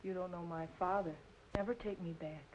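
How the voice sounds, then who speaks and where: A woman's voice: on the low side, fairly even intonation, fairly quick, noisy background, lightly acted. A young woman playing an earnest, lovesick runaway with a plaintive manner, in old film noir style. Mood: downcast, earnest. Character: lovesick, naive young runaway girl hoping to sing. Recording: dull vintage film audio, music behind.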